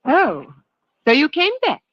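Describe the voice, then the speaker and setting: A woman's voice: sits high, huge pitch swings, slow, a bit bright, no echo, heavily acted. An actress playing a brash, taunting young woman crook in a 1940s radio drama. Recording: dull, dry old radio recording.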